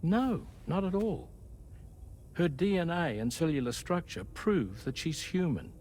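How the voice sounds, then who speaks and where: A man's voice: a little high, lively intonation, measured pace, a little mellow, a little hiss or hum. A man playing a precise, coolly pedantic science officer in a video game cutscene, speaking formally and articulately. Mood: insistent, pedantic.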